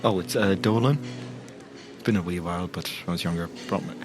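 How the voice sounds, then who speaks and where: Deep-voiced, awkward Northern Irish family man, man roleplaying. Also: fast talker, darkish tone, soft background music, lightly acted. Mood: chatty, nostalgic. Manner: stammering, apologetic, chatty. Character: earnest, awkward family man visiting his old local pub. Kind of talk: improvised tabletop roleplay.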